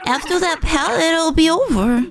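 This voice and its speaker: A woman voicing a childish, sulky but good-hearted small AI boss from a video game, with a halting, earnest manner. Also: very high voice, over music. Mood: determined, pleading. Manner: halting, earnest, self-correcting. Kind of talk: reading video game dialogue in character.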